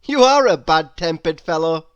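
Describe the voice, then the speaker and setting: A man's voice: on the high side, animated, normal speed, a bit bright, clear, resonant tone, muffled recording. A man voicing a cheerful, polite, round storybook fellow with a jovial, well-mannered delivery.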